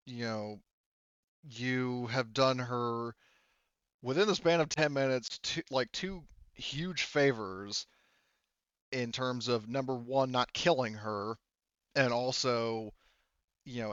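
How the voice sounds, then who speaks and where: Male game master, smooth and conversational, rambling off the cuff, close dry mic with slightly dull sound. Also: medium pitch, slow pace, a bit bright, no echo. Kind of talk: casual improvised narration of a tabletop roleplaying game.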